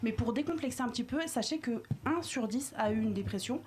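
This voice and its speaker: Slangy female columnist, higher voice, noisy recording. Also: animated, brisk. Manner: casual slangy banter. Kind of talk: comic chronicle on a talk show.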